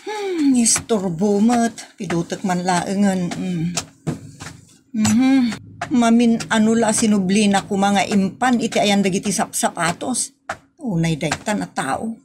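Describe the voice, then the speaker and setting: A woman's voice: medium pitch, expressive, takes it slowly, noisy background. A woman acting the part of a forgetful, busy housewife in a homemade comedy skit, exasperated and muttering to herself.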